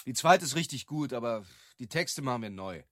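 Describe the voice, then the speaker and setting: A man's voice: mid-range voice, expressive, brisk, dry recording, clean studio sound, lightly acted. An actor playing an exacting, impatient male advertising boss with a curt, decisive way of talking in naturalistic radio drama. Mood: brisk.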